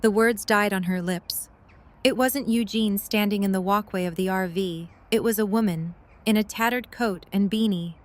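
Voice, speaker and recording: A woman's voice: mid-range voice, moderate pace. A woman reading scripted narration for an audio drama as its storyteller.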